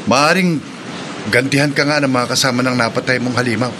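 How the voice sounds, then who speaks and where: A man's voice: mid-range voice, steady tone, fairly quick, lightly acted. An actor playing an alarmed, stranded man in a radio drama. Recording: noisy background.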